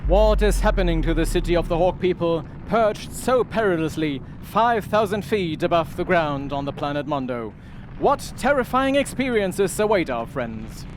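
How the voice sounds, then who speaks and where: A man's voice: high-pitched, expressive, normal speed, background noise. A man narrating as a pompous, melodramatic announcer in 1930s radio style.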